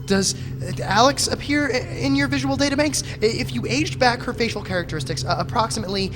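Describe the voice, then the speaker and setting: A woman's voice: a little high, quick pace, background noise, lightly acted. A woman playing an anxious, pedantic, sarcastic survivor in an audio drama, stammering through wordy, exasperated objections. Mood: tense, resolved. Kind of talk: scripted audio drama acting. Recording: music bed under the voice.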